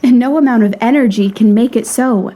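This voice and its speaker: A woman's voice: fairly high pitch, a little fast, dark tone, lightly acted. A woman playing a proud, frank young lady in a period audio drama, speaking in a refined, articulate and earnestly reproachful way. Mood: proud, resigned.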